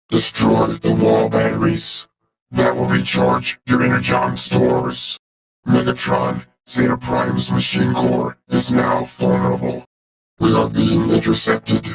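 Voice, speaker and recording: A man voicing a cold, logical giant robot officer in a video game, with a gravelly synthetic monotone, a robotic filter and clipped, formal, deadpan delivery. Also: medium pitch, slow pace, heavily acted, poor audio. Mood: flat warning. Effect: robotic vocoder filter. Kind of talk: video game character voice acting.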